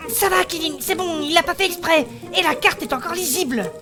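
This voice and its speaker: A woman playing a gentle, pleading travelling companion in a French fantasy comedy audio drama. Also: extremely high pitch, fairly quick, over music. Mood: soothing.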